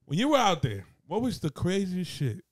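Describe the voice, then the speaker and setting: Man, podcast co-host, slightly high voice, dry close mic. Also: moderate pace, no room sound. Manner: animated, joking, switches between Spanish and street slang. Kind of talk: casual podcast chat. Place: small podcast studio indoors. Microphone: boom mic on arm.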